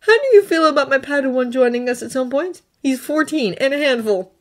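A woman's voice: high voice, animated, a little fast, voice pushed up. A woman voicing an undercover male Jedi, earnest and weary, in a fan fiction audiobook.

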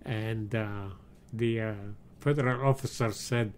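A man in his seventies from Egypt, telling his life story slowly and haltingly in an oral history interview at his home. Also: on the low side, fairly even intonation, clear, resonant tone. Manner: slow, halting storytelling with many pauses and fillers. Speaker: interviewee, retired engineering professor.

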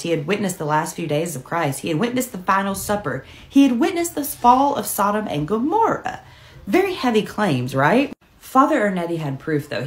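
Animated, lower-voiced woman storyteller. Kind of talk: casual storytelling explainer to camera.

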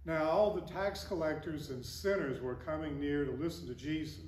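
A man's voice: a little high, normal speed, darkish tone. An older man, a priest, reading the gospel and giving a conversational sermon with broad gestures, recorded by a far camera in a large wooden church. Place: large wooden church with stained glass, indoors. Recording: echoey church from a distance.

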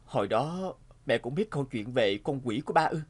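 A man playing a troubled, sentimental family man in an animated drama, speaking earnestly and reflectively. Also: mid-range voice, a little fast, natural voice. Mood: puzzled.

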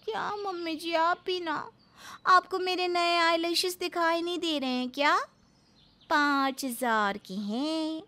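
A woman's voice: very high voice, slow pace, bright, forceful tone, heavily acted. A woman voicing a vain, whiny young daughter-in-law in a cartoon family story, with a dramatic delivery. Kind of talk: animated family story acting.

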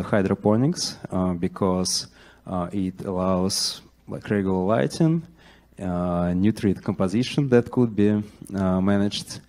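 Male presenter, middle-aged, deep and unhurried, halting delivery full of fillers, handheld mic in a lecture hall. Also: a little mellow. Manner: hesitant, many "uh" fillers and restarts. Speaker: conference presenter. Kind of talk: conference talk with slides.

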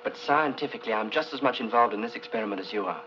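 A man's voice: lowish voice, very fast, pushed and bright. Earnest male scientist, muffled film. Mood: earnest, probing. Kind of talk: 1950s science fiction film acting. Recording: muffled old film soundtrack with hiss.

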